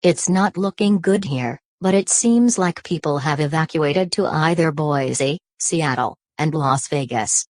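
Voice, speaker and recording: Woman doing a serious news reporter in the field, slow talking, dry sound, formal. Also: deep voice, a little mellow, no room sound. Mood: sober. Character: sober field news correspondent reporting from a disaster.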